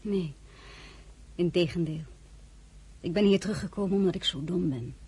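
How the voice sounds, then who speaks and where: An actress playing a shy yet defiant princess in a radio drama, answering politely and pointedly. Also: female voice, on the low side, moderate pace, breathy edge. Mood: meek, firm. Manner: polite, quietly pointed replies. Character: shy yet defiant princess, a marshal's wife at Napoleon's court. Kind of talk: Dutch radio drama dialogue.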